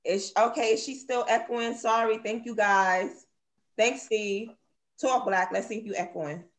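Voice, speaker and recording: A woman's voice: fairly high pitch, fairly even intonation, measured pace. Blunt New woman hosting live. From Brownsville, Brooklyn. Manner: blunt, gossipy, laughs often. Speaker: host. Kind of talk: casual live online panel chat.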